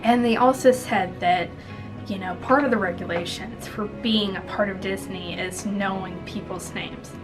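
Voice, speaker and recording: A woman's voice: medium pitch, steady tone, normal speed, poor audio. Chatty young woman with music. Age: 20s. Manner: chatty, rambling, giggly asides. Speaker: vlogger giving interview tips. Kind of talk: casual advice to camera. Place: small indoor room at home.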